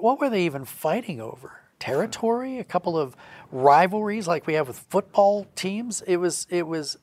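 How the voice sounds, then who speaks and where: A man's voice: fairly high pitch, no echo. A man giving earnest, reflective explanations as a guest in a conversational interview. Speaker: guest, audio drama writer.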